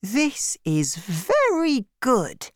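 A narrator voicing a small boy in a storybook, gentle but insistent, with slow, deliberate and simple speech. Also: medium pitch, huge pitch swings, no room sound, clean studio sound, pitched above the performer's own voice, exaggerated voice. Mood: pleased, curious. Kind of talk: storybook character voice.

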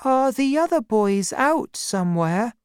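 A small, polite boy from a storybook, played by a woman, very high and plaintive. He sounds timid and tearful, with lively swings in pitch and a close, dry sound. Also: measured pace, clean tone, dry recording. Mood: nervous.

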